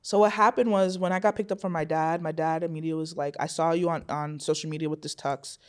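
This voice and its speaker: A woman in her twenties, a guest in a podcast studio, telling a personal story in an animated way and acting out what others said. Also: deep voice, fast talker. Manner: animated, acts out quotes, frequent "like" fillers.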